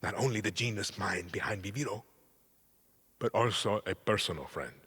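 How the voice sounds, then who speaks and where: An actor playing a cold, menacing middle-aged dictator in a video game, measured and deliberate. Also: male voice, on the low side, a bit bright, a little hiss or hum, lightly acted. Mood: solemn. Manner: measured, deliberate delivery with pointed pauses. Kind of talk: cinematic video game acting. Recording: music behind the voice.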